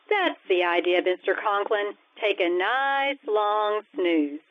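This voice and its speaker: A woman playing a wry, quick-witted schoolteacher in old-time radio comedy style, dry and wisecracking as she placates her boss. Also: medium pitch, lively intonation. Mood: wry, soothing. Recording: tinny, noisy old-time radio sound.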